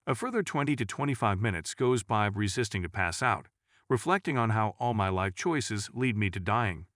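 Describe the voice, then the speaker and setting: A male storyteller narrates a scripted first-person account. His voice sits fairly low in pitch. The recording is dry, with no audible room around the voice. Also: fairly bright tone, no echo.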